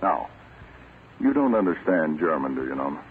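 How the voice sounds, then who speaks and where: An actor playing a calm, authoritative American counterintelligence chief in a 1940s radio drama, measured and assured. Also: male voice, rumbling low. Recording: tinny, noisy old radio recording.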